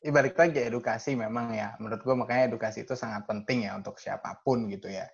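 A man's voice: medium pitch, steady tone, fast talker, gravelly. A young man hosting a casual podcast chat, laughing often and asking probing questions. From Indonesia. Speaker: podcast host. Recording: dull lo-fi recording.

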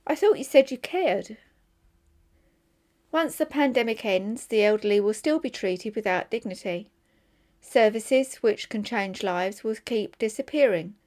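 A woman's voice: medium pitch, a little mellow. A woman volunteer reader reading a newspaper opinion column aloud for listeners.

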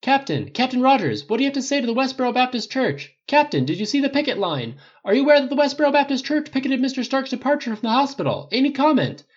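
A man's voice: sits high, sing-song, fast, hardly any pauses, husky, lo-fi sound, pitched above the performer's own voice, exaggerated voice. A man voicing a pushy press reporter, calling out questions with urgent insistence. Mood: insistent, clamoring.

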